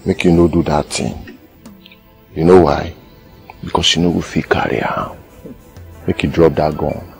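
An actor playing a domineering, sardonic gang boss in a film drama, with a Nigerian accent and a taunting, lecturing manner. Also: male voice, a bass voice, steady tone, measured pace, gravelly, pauses often, a little mellow, faint music behind, full character voice. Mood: tense, defiant. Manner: taunting, lecturing, rhetorical questions. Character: domineering, sardonic male gang boss, self-styled master.

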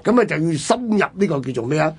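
A man's voice: fairly high pitch, animated, fast, husky. A man with a Hong Kong Cantonese accent, telling history conversationally as a radio co-host.

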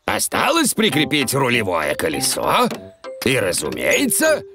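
A man in a cartoon dub playing a kindly, hearty old grandfather pig, creaky and reassuring. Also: medium pitch, measured pace, faint music behind, full character voice. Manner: reassuring, animated.

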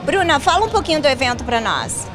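A woman's voice: high voice, sing-song, quick pace. A woman reporter interviewing guests at a busy event venue with a handheld mic, upbeat and friendly. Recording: noisy venue with music and crowd.

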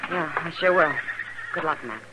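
A woman's voice: low-pitched, normal speed, heavy background noise, lightly acted. An actress playing an easygoing, wry Old West townswoman in a 1950s radio western, speaking casually. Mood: concerned. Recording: old radio recording with crowd sounds.

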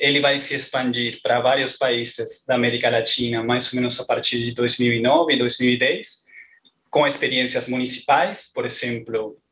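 Man in his thirties with a strong Argentine Spanish accent, giving a scholarly talk over a video call, flat and level delivery, frequent "eh" fillers while he gathers his thoughts. He speaks into a headset from a small room at home. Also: medium pitch. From northeast Argentina. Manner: hesitant, many "eh" fillers. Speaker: postdoctoral researcher. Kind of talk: academic presentation on a video call.

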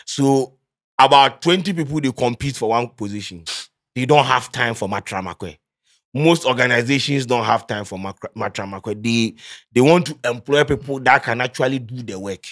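A Ghanaian man in his thirties hosting a casual studio chat, lively and gesturing, mixing pidgin into his English. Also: medium pitch, moderate pace. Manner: animated, gestures a lot, mixes pidgin into English. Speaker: podcast host. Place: small studio with padded walls. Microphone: studio mic on boom arm.

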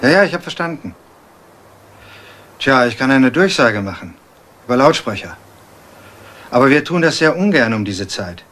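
A man's voice: medium pitch, very animated, normal speed, pauses often, fairly bright tone. A man acting a reluctant campsite attendant taking a call in a radio crime drama.

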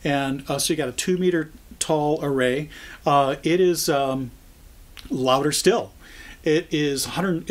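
An American man in his sixties giving an informal product explainer, speaking conversationally and haltingly as he thinks it through. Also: mid-range voice. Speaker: product trainer.

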